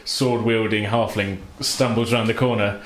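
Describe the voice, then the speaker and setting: A man's voice: lowish voice, fairly even intonation, normal speed, roomy sound, a little hiss or hum. A man running a tabletop game as game master, narrating in a loose, chatty way and laughing often.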